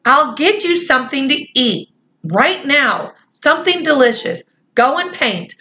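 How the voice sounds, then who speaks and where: An earnest, anxious young boy, voiced by a woman reading a storybook. His voice sits somewhat higher than hers and carries a bright, urgent edge. He sounds responsible and worried, trying to keep things under control. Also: very animated, a little slow, slight background noise, exaggerated voice. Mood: soothing.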